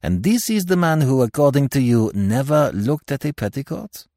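A man voicing a courteous French examining magistrate in an audiobook mystery, speaking in a formal, deliberate, polite way. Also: medium pitch, expressive, darkish tone, no echo, clean studio sound, pitched above the performer's own voice, close to an everyday voice. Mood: grave, insistent. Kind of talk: acted dialogue in audiobook mystery.

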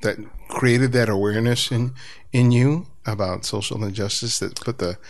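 A man's voice: mid-range voice, steady tone, moderate pace. A man hosting an interview podcast, asking thoughtful questions with stammering restarts. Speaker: podcast host.

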